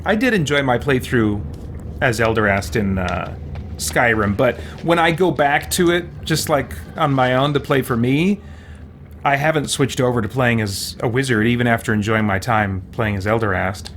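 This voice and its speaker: A man's voice: mid-range voice, animated, normal speed, a bit bright, slight background noise. A man hosting a let's play, giving casual commentary as he plays. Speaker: gaming host.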